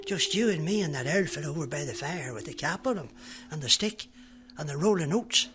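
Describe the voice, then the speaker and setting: A man's voice: on the high side, fairly quick, noisy background, close to an everyday voice. A man playing a patient barman. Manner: calm, fatherly, calls the customer son. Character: tiny old bartender, patient and wry.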